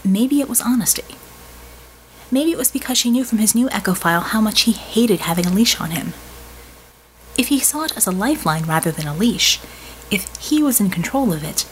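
A woman narrates a scripted novel as an audiobook reader. Her voice sits at a medium pitch, and there is faint noise in the recording. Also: expressive, brisk, rough recording.

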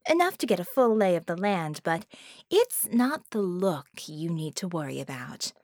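A woman's voice: lowish voice, sing-song, normal speed, darkish tone, dry recording, studio quality. A woman voicing a haughty, cunning, bored villainess in a cage, with taunting, formal, theatrical phrasing, for an audiobook. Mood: taunting, probing.

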